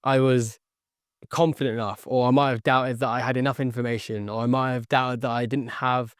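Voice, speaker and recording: A young man, a podcast guest whose first language was Tagalog, tells his story earnestly and in repeated phrases, checking in with "okay". His voice is smooth and clear at a mid pitch. He is recorded dry and close. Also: little pitch movement, fairly quick, dry recording. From born near London, raised in the Philippines. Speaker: guest, sales entrepreneur. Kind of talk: podcast interview.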